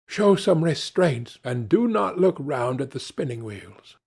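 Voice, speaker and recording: A man's voice: fairly high pitch, very animated, a little slow, vocal fry, a little mellow, husky, heavily acted. A man voicing a young princess in a storybook who gives firm, resolute instructions. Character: young king's daughter, resolute female leader.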